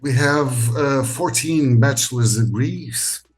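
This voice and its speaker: A middle-aged man from Portugal speaking as an interview guest, measured and pausing often with fillers, over a video call. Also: mid-range voice, faint music behind. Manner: measured, with frequent pauses and fillers. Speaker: university educator, interview guest. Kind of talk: conversational interview.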